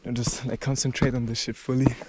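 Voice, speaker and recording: A man's voice: mid-range voice, level tone, fast, a little mellow. Breathless young German-accented man vlogging. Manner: laid-back, rambling, lots of "like" and swearing. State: out of breath from climbing steps. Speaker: travel vlogger. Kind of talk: casual vlog talk while hiking. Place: outdoors on a rocky mountain trail. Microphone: handheld camera at arm's length. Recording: outdoor camera audio with clear background noise.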